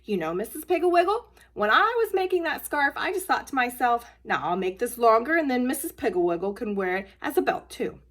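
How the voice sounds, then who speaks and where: Eager young child, played by a woman reader, emphatic and showing off, animated, slightly husky, storybook style. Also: a little high, fairly quick, hardly any pauses, pitched above the performer's own voice. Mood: proud. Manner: earnest, emphatic, boastful. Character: eager, proud young child boasting and rambling. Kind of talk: children's storybook read-aloud.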